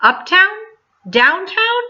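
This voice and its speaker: A woman's voice: extremely high pitch, big pitch swings, takes it slowly, bright, forceful tone, slight background noise, voice pushed up, full character voice. A woman voicing an anxious young boy in a storybook, urgent and pleading. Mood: urgent, alarmed. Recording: dull lo-fi sound.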